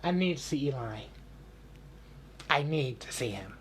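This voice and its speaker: A man's voice: mid-range voice, lively intonation, a little slow, noisy background, natural voice. A man voicing a small, insecure, earnest wooden puppet person in a children's storybook, with a plaintive manner. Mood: resolved.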